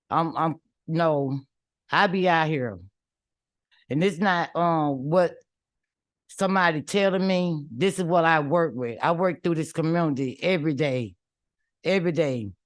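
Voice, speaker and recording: A woman's voice: fairly low pitch, unhurried, pauses often, no echo, studio quality. A woman, a community activist giving emphatic, defiant public comment at a legislative hearing, repeating her points in colloquial speech.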